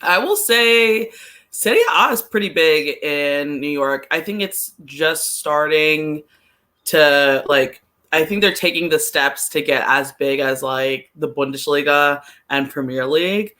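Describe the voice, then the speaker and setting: A woman's voice: low-pitched, measured pace, sharp tone. A woman appearing as a podcast guest, chatting casually about sports with "like" peppered through her talk. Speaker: podcast guest, soccer fan.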